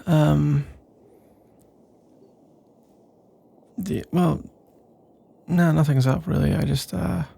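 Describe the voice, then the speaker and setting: Man playing a pensive male roommate, slightly high-pitched, heavy vocal fry, soft, halting, nervous, ASMR roleplay. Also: exaggerated voice. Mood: hesitant, nervous. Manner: hesitant, halting, rambling. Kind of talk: ASMR roleplay, casual intimate monologue.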